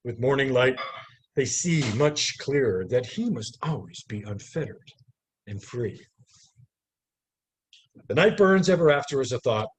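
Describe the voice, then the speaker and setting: A man reading his poetry aloud over a video call, in a deliberate, theatrical way with measured pauses. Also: medium pitch, slightly rough, rough recording. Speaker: poet reading own work. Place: live online video call. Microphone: video call microphone.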